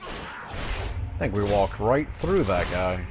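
Low-voiced man doing casual game commentary. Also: sing-song, slow, background noise. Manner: wry offhand asides. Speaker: gaming video creator. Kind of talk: casual gameplay commentary, reading quest text aloud. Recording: game music behind the voice. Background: video game music.

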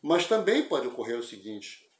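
An older man giving a spiritist lecture over a video call, speaking in a measured, reflective and explanatory way through an earphone mic in a small room at home. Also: mid-range voice, very animated, reverberant. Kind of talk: religious lecture. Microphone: wired earphone mic on a video call.

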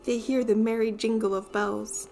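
A woman reading a children's picture book aloud as an expressive storyteller. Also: fairly high pitch, moderate pace, soft background music.

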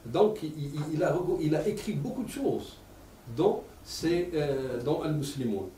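A man's voice: a little high, a little slow, slight background noise. Man with an Arabic accent, echoey room. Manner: animated, gesturing, many repeated words. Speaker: lecturer. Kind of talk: public talk. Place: lecture room. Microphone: table stand mic. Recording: echoey room, mic at a distance.

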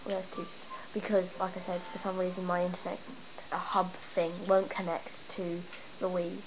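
A young woman with a stuffy cold, chatting and rambling excitedly as she shows off her game town at home. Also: lowish voice, normal speed. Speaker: gamer showing her town. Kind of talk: casual game walkthrough. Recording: noisy home camera recording. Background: steady background noise.

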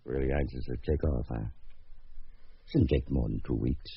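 A man's voice: a bass voice, moderate pace, creaky, slightly rough, heavily acted. A man acting in a radio drama as a stubborn, scheming middle-aged businessman father, theatrical and evasive. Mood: coaxing. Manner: theatrical, blustering. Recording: slightly muffled radio drama recording.

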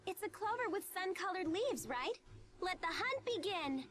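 A woman voicing a perky, cheeky little girl companion in a video game, with a playful, bright manner. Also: extremely high pitch, sing-song, normal speed, full character voice. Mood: playful, curious.

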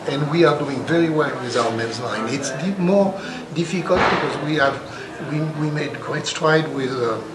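A man's voice: on the high side, normal speed, heavy background noise. An older man speaking earnestly in a trade show interview, restarting and searching for words now and then. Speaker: brand representative. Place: large carpeted hotel hall at a trade show. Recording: echoey hall with loud crowd chatter. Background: loud crowd chatter.

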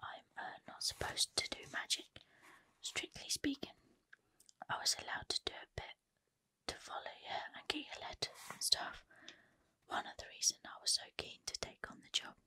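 A woman whispering the lines of a huge, bearded male gamekeeper who is gruff but kindly, in a storybook reading. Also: normal speed, pushed and bright, dry recording. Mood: rueful. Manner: whispered throughout.

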